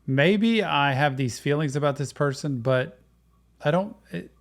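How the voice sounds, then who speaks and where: A man's voice: on the high side, animated, measured pace. A male psychotherapist giving casual, thoughtful, analytical commentary while reacting to a show.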